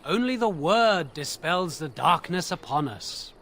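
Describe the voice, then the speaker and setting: High-voiced pious chanter reciting scripture. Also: male voice, expressive, a bit bright, slight background noise. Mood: solemn, preaching. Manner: declamatory, measured, formal. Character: pious chanter who speaks only in scripture verse. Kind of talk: solemn scripture recitation, video game acting.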